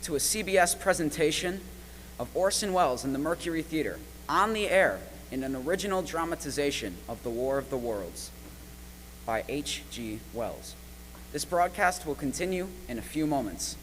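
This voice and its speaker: A man playing a formal, measured 1930s radio network announcer in a radio play. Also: on the high side, animated, fairly bright tone. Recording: reverberant live gym recording, faint noise.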